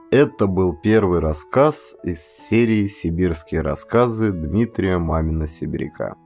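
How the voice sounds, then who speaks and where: A man's voice: low-pitched, darkish tone. A man working as an audiobook narrator, delivering a scripted address to listeners. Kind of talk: scripted narration and channel outro. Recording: guitar music behind the voice. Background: guitar music.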